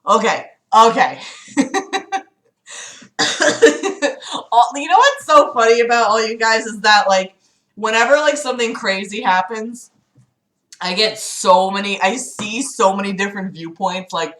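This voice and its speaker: Sarcastic lady streamer reading a book out loud and roasting it, bright highish voice, roomy echo. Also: unhurried, pauses often. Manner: animated, sarcastic, exasperated asides, swearing. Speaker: livestream host. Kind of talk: mocking read-aloud of a book with casual commentary to live chat.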